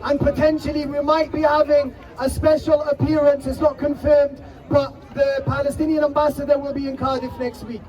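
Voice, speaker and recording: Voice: high voice, level tone, normal speed, hardly any pauses, muffled recording, over music. A rally organizer making practical announcements and introducing the next speaker at an outdoor protest. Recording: distant outdoor mic with crowd.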